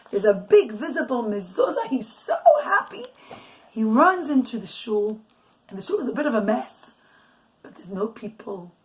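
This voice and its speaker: A mother telling an informal, improvised religious bedtime story in an animated, conversational way, mixing in Hebrew and Yiddish terms. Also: fairly high pitch, poor audio. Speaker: mother telling bedtime stories to children and listeners. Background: noise, clicks, small children nearby.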